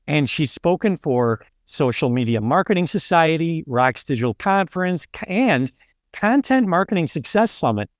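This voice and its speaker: A man's voice: fairly high pitch, big pitch swings, normal speed, dry recording, clean studio sound. A man hosting a podcast, reading a polished intro and then chatting loosely with a guest, with plenty of fillers and restarts. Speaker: podcast host. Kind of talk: scripted intro then casual interview.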